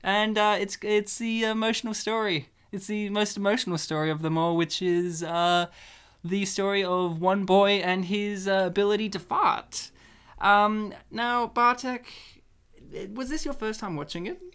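A man's voice: high-pitched, steady tone, a little slow, a bit bright, clear, resonant tone. A man hosting a casual movie podcast, talking in a jokey, rambling way and laughing often. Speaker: podcast host.

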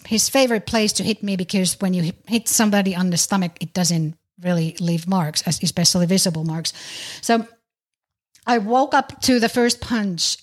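Middle-aged woman telling her story. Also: mid-range voice. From Finland. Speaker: podcast host. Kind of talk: personal storytelling on a podcast.

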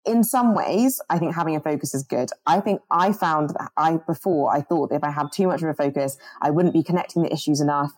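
A young woman raised in the UK, speaking earnestly and in an animated way about her organizing work as an interview guest on a video call, often restarting her sentences. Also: deep voice, brisk, hardly any pauses, no room sound. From born in Jamaica, grew up in the UK.

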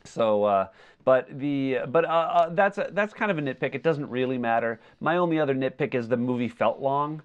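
A man's voice: medium pitch, lively intonation, normal speed, clear, resonant tone, highs cut off. A man co-hosting a podcast, giving his opinions on film in a casual, tentative way. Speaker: podcast co-host. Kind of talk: casual film review chat.

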